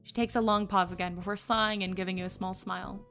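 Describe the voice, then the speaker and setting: A woman streamer reading a visual novel aloud and commenting on it, excitable and gushing, often giggling. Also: mid-range voice, fast talker, faint music behind. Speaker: VTuber streamer.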